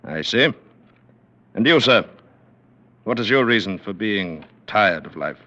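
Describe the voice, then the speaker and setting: An actor playing the cold, shrewd president of a secret club, in an old-time radio drama. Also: male voice, lowish voice, expressive, a little slow, bright, forceful tone, exaggerated voice. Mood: probing. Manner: cold, testing, abrupt. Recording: dull old radio recording.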